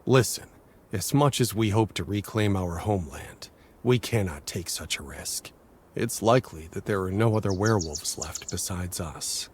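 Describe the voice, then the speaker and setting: An actor playing a cautious, solemn werewolf leader in a game cutscene, with a husky voice and a measured, guarded delivery. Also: male voice, deep voice, a little mellow. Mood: firm, regretful. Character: cautious, solemn male werewolf leader sheltering his people. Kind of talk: dramatic game cutscene acting.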